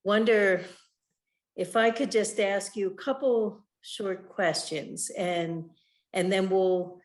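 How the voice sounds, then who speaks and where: A woman interviewing an artist over a video call, asking thoughtful, measured questions with frequent "you know". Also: mid-range voice, steady tone. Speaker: interviewer, writer and curator. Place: home in the Southwest.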